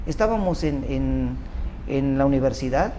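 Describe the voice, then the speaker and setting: A man's voice: mid-range voice, lively intonation, normal speed, mellow, a little hiss or hum, low-quality recording. An older man with a Mexican accent, a doctor telling his life story as an interview guest, rambling and correcting himself as he goes. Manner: rambling, self-interrupting recollection. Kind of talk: conversational storytelling.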